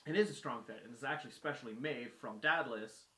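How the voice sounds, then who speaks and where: A man's voice: medium pitch, fast, pushed and bright. A man casually retelling a myth as a guest storyteller, with colloquial phrasing and acted-out dialogue. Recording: roomy echo.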